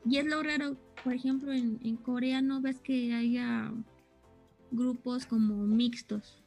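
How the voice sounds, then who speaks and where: Young woman with a high voice, relaxed conversational co-host who searches for words. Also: takes it slowly, faint music behind. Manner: chatty, searches for words, trails off. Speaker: co-host explaining anime terms. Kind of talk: casual chat.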